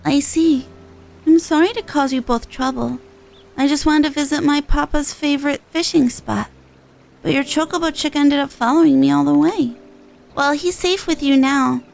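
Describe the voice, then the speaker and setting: A woman's voice: high voice, moderate pace, creaky, darkish tone, faint music behind. A woman voicing a worried, polite little girl in a fantasy game, speaking simply and a bit hesitantly. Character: worried, polite little girl who misses her papa.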